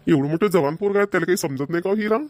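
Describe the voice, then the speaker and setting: A middle-aged cartoon village father, voiced by a man grumbles at his idle son. He talks quickly, in a rather high voice. The sound is dry and close. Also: a little mellow, dry recording, lightly acted. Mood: grumbling. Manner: rural Marathi dialect, grumbling, repetitive. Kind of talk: cartoon voice acting.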